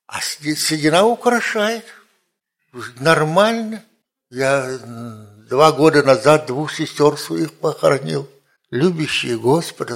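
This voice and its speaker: A man in his seventies preaching informally, in a conversational way with rhetorical questions and repeated phrases. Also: mid-range voice. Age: 70s. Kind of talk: informal sermon with personal anecdotes.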